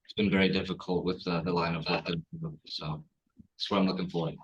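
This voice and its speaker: A man in his twenties speaking hesitantly, his sentences trailing off quietly. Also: deep voice, little pitch movement, a little fast, husky, muffled recording. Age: 20s. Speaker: caller. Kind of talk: casual self-introduction.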